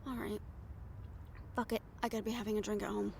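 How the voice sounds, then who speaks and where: A woman's voice: mid-range voice, flat delivery, fast, gravelly, background noise, natural voice. A woman playing a brash, cynical, foul-mouthed reporter in an improvised audio roleplay, with a blunt, sardonic manner. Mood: muttering, frustrated.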